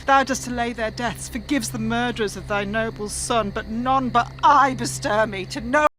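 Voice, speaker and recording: A woman's voice: fairly high pitch, normal speed, fairly bright tone, a little hiss or hum, natural voice, low-quality recording. An actress playing a bereaved middle-aged mother in despair, speaking anguished, incantatory verse in a radio tragedy.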